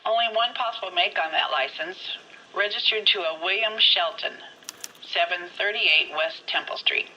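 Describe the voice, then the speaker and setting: A polite, efficient switchboard operator from a 1940s crime game. Her voice is piercingly bright and businesslike, coming over a dull, lo-fi telephone line. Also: medium pitch, moderate pace. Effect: telephone or radio filter. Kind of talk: scripted video game dialogue, 1940s crime drama.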